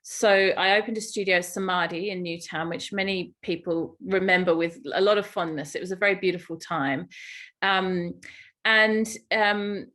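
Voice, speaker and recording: A woman's voice: lowish voice, steady tone, moderate pace, a bit bright. A middle-aged woman with an English accent, telling personal stories as a guest in a conversational podcast interview. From England. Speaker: guest, yoga teacher and Ayurveda guide.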